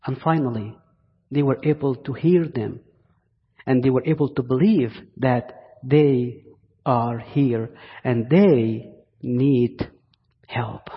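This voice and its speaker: A man from Egypt with an Egyptian accent preaches a sermon to a congregation as a guest, deliberately, pausing and repeating phrases for emphasis. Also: medium pitch. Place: large indoor church space. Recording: muffled, reverberant church hall sound.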